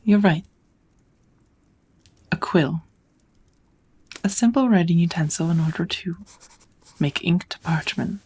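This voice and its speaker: A woman playing a kind, patient witch who teaches magic in an ASMR roleplay, speaking softly and often in a whisper, in a gentle, measured, encouraging way. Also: lowish voice. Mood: patient, explaining. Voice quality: soft, breathy, often whispered.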